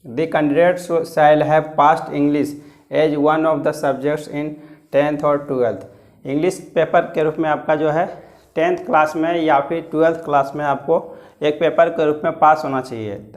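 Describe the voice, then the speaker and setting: Higher-pitched Indian man teaching. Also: steady tone, measured pace. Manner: repeats and rephrases points, switching between Hindi and English. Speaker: coaching class teacher. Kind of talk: explanatory exam-guidance lesson.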